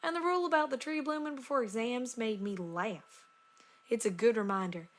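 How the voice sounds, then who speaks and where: A woman's voice: on the high side, moderate pace, natural voice. A woman voicing an earnest country farm pony, plainly reading a letter aloud. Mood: friendly, folksy. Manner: folksy, plainspoken. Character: earnest, down-to-earth young country farm mare, cartoon pony.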